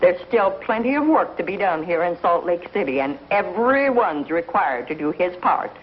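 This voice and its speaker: A woman's voice: lowish voice, lively intonation, moderate pace, lightly acted. Actress doing a hard scolding frontier woman, blunt country talk, old tv western, dull hissy sound. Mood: stern, scolding. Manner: blunt, rustic grammar, scolding. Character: stern, hardened pioneer woman, disapproving and blunt. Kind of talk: 1950s TV western acting. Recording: muffled, noisy 1950s TV soundtrack.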